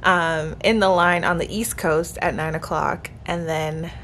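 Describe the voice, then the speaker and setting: Young woman, mid-twenties, low-ish voice, close camera mic, faint rumble behind, casual hotel-room vlog. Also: steady tone, a little slow, fairly bright tone. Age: 20s. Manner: earnest, grateful, chatty with small laughs. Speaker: vlogger. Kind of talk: casual reflective vlog talk. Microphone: camera held close at arm's length.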